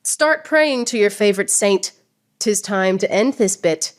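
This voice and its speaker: A woman's voice: medium pitch, expressive, a little slow, natural voice. A woman playing a proud, witty swordswoman poet in radio theatre, declaiming verse with mocking precision. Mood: triumphant, taunting.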